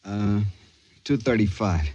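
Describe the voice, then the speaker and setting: Husky, low-voiced rocket crewman. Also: slow pace, a little mellow. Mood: thoughtful. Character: sober, reasoning male rocket crewman. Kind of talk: old-time radio drama acting.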